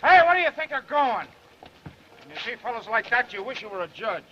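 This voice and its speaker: A man's voice: very high voice, sing-song, fairly quick, bright, forceful tone, heavily acted. An actor playing a brash, flirtatious, stubbornly protective newspaperman with an American accent, bantering in slangy, persistent 1930s film style. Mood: indignant. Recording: muffled, hissy 1930s film soundtrack.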